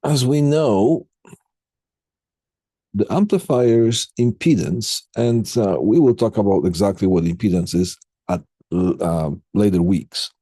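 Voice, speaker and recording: A man giving an informal technical lecture as an instructor, explaining step by step in a halting way and putting questions to students. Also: lowish voice, warm tone, slightly rough, dry recording.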